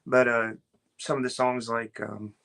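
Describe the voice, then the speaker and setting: A man with a smooth, bright voice, a guest on a video call. His pitch is ordinary, and he talks earnestly and without hurry, padding his thoughts with "uh" and "you know". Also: level tone, a little slow. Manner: earnest and easygoing, with many fillers like "uh" and "you know". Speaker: guest, Elvis tribute artist. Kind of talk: casual interview chat.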